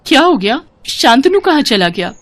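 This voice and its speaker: A woman voicing a proud young female serpent bride in a cartoon, with an expressive delivery. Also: high-pitched, moderate pace, background noise, pitched above the performer's own voice. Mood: worried. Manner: expressive, mocking when refusing.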